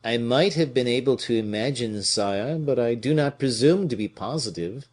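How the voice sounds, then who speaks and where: A man's voice: medium pitch, moderate pace, a little mellow, lightly acted. A man voicing a deferential, aggrieved royal clerk with a faltering, wheedling manner in an audiobook. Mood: cautious, deferential. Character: obsequious, aggrieved male royal clerk, cautious schemer. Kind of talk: dramatic audiobook character reading.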